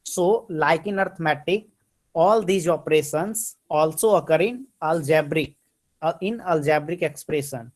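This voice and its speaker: A man's voice: a little high, a little slow. A man with an Indian accent teaching a maths class online over a computer mic, switching between English and Hindi and repeating his points.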